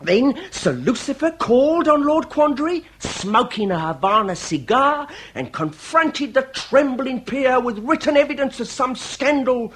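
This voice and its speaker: An earnest young British detective's assistant in a period radio drama. The voice sits very high, with formal, methodical phrasing and a deferential manner. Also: male voice, expressive, measured pace, hardly any pauses, a bit bright, slight background noise, heavily acted. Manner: precise, formal, methodical recounting. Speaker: actor in radio comedy drama.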